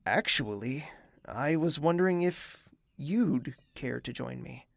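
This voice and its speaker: An actor playing a young male prince who is thoughtful and reserved, speaking in a measured, formal and courteous way. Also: medium pitch, lively intonation, vocal fry, dry recording, heavily acted. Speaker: narrator and lead character. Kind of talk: audio drama acting.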